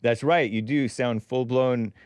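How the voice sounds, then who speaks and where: A man's voice: mid-range voice, steady tone, unhurried, a little mellow, clean tone, dry recording, clean studio sound. A man hosting a call-in show, laid-back and laughing easily. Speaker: host. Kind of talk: casual call-in talk.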